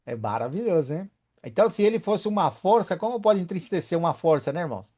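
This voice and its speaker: A man's voice: high voice, animated, quick pace, mellow. A man leading a Bible study, emphatic and often asking his listeners for agreement.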